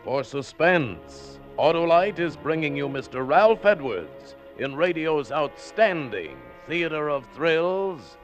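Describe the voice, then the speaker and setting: Slow dramatic radio announcer man. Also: fairly high pitch, big pitch swings, music in the background. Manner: slow, dramatic, stately delivery.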